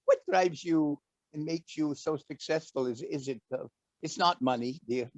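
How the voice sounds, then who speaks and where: A man's voice: fairly high pitch, normal speed, dry recording, dull audio, lightly acted. A man doing a flirty, campy lady host. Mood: curious. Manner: gushing, flirty, says dear constantly. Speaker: talk show host. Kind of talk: campy comic interview.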